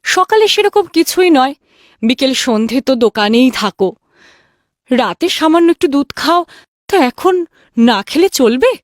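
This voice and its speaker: A woman as a lively, fussing cartoon wife and mother. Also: high voice, moderate pace, pauses often, no room sound, studio quality. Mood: concerned, coaxing. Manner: fussing, coaxing. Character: ageing shopkeeper's caring wife and indulgent mother.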